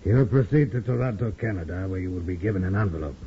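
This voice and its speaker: An actor playing a menacing communist spymaster in a 1950s radio drama, formal, measured and imperious. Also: male voice, sits low. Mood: commanding. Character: smooth, menacing, commanding male communist spymaster. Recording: muffled, faintly noisy 1950s radio recording.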